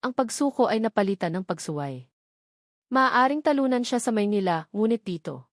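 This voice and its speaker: A woman's voice: mid-range voice, lively intonation, moderate pace, dry recording. Filipino woman narrating, dry close sound. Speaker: audiobook narrator. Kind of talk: dramatic scripted story narration.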